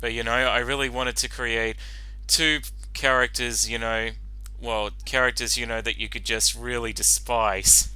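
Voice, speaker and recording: A man gives casual reaction commentary. His voice is very bright and clear, and he rambles slowly with frequent stops. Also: medium pitch, flat delivery, dry recording, rough recording. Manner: halting, rambling, repeats you know. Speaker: reaction commentator and fan-fiction author.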